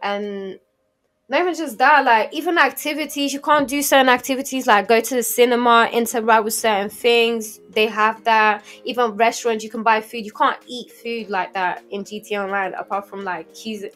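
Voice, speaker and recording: A woman's voice: a little high, steady tone, normal speed, fairly bright tone. Young woman chatting over music. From Portugal. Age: 20s. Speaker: podcast guest and game streamer. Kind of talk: casual interview chat. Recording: music playing behind the talk.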